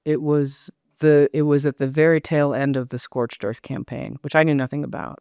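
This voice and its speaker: A woman's voice: deep voice, steady tone, moderate pace, dark tone, smooth, dry recording, studio quality. A middle-aged American woman talking as an interview guest in a thoughtful, self-questioning, digressive way. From United States. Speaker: novelist, podcast guest. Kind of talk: reflective conversational interview.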